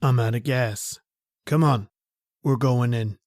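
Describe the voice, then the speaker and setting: An actor playing a menacing, brusque killer on the run in an old-time radio crime drama, speaking tersely. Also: male voice, mid-range voice, slow pace, dry recording, studio quality, lightly acted. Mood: curt, commanding. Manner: terse, flat threats in casual tough-guy phrasing.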